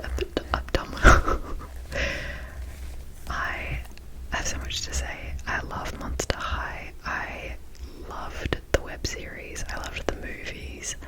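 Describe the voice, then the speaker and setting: A young adult woman whispering in a casual ASMR show-and-tell style, breathy and enthusiastic, with halting, unhurried phrasing. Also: rough recording. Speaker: ASMR video creator.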